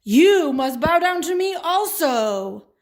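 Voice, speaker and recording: Woman playing a pompous, high-voiced puppet villain. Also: very animated, takes it slowly, heavily acted. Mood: pompous, demanding. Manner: pompous, commanding. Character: boastful, vengeful male villain, a royal advisor. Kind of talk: children's puppet show storytelling.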